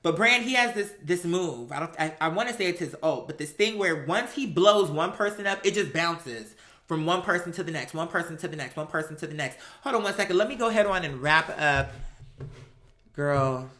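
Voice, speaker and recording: An American live-stream host telling stories casually in an animated, emphatic, slangy way, acting out exchanges. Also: medium pitch, a little fast.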